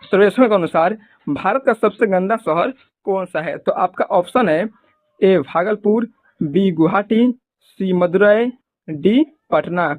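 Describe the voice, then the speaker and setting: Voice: mid-range voice, measured pace, dry recording. A quiz presenter reading multiple-choice exam questions and answers in a formulaic, repetitive way. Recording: muffled phone-like audio.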